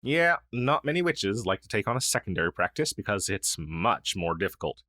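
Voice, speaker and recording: A man's voice: on the low side, big pitch swings, a little fast, bright, forceful tone, dry recording, clean studio sound, heavily acted. A man playing a friendly, down-on-his-luck crow demon who was once a witch's familiar, in a fantasy audio roleplay, speaking earnestly with hesitant stammers. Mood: warm, explanatory.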